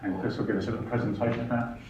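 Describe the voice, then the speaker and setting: A man chairing a council meeting, speaking in a measured, deliberate way. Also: mid-range voice, fairly even intonation. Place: large echoey meeting hall. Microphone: far from the microphone. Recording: echoey hall, distant, dull, faint hiss.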